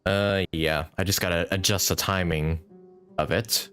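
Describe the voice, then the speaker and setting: A man's voice: low-pitched, fairly even intonation, a little slow, sharp tone, smooth, soft background music. A man doing casual live gameplay commentary, thinking aloud informally and repeating short phrases. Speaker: game livestreamer.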